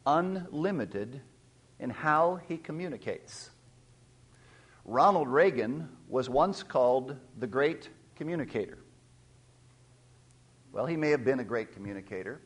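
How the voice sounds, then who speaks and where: Middle-aged male pastor, sermon delivery, stops to gloss old scripture words, voice climbs and swells on key verses. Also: slightly rough. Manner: reads scripture aloud and explains old words as he goes; pitch rises sharply and louder when stressing verses.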